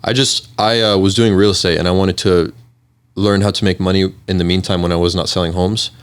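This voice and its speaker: A man's voice: low-pitched, steady tone, fairly quick, smooth. A man in his twenties telling his own story as an interview guest in a casual, informal way, often quoting his thoughts. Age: 20s. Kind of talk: casual chat, personal storytelling.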